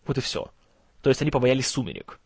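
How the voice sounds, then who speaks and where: A man hosting a film news show in casual commentary, his voice strained and kept low in volume, with a gloomy, wry, sarcastic manner and some stumbling over words. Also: big pitch swings, quick pace, darkish tone, breathy edge, dry recording. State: strained, tired voice, can't speak loud.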